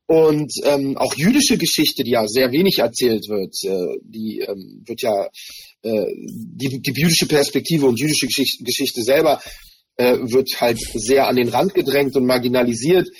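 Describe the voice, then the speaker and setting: A man, a native Hebrew speaker raised in Germany, giving a thoughtful, earnest interview and often pausing to search for his words. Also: mid-range voice, animated, slightly rough, rough recording. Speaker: interview guest, rapper. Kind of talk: personal reflective interview talk.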